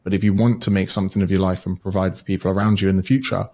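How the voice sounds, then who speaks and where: Male podcast guest, low husky voice, blunt and profane. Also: flat delivery, rapid-fire, darkish tone. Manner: blunt and swears freely. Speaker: guest, educator and author. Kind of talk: casual podcast conversation.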